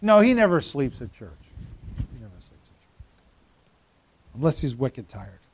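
A man's voice: medium pitch, very animated, measured pace, slightly rough. A pastor in his fifties giving a conversational sermon, teasing members of the congregation by name. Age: 50s. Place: church.

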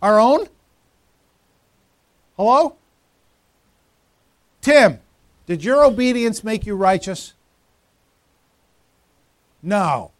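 A man's voice: sits high, big pitch swings, drawn-out words, long pauses, no room sound. A pastor in his fifties giving a conversational sermon, teasing members of the congregation by name. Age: 50s. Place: church.

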